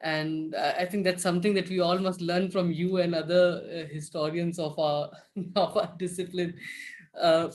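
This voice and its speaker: High-voiced interviewer man, polite and hesitant. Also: flat delivery, moderate pace. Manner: polite, hesitant, many fillers and restarts. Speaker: interviewer host. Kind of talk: live-streamed interview.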